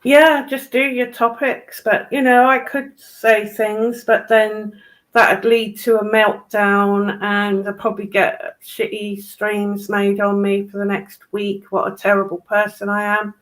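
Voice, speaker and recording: Woman w/ medium pitch, blunt sweary casual chat on a stream. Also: level tone, measured pace, fairly bright tone, low-quality recording.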